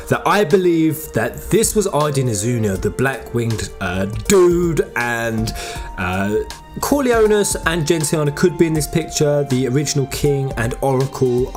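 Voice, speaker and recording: A man hosting a gaming fan-theory video, talking casually in an informal, slangy, excitable way that builds suspense. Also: fairly high pitch. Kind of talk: casual fan-theory commentary over game footage. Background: background music.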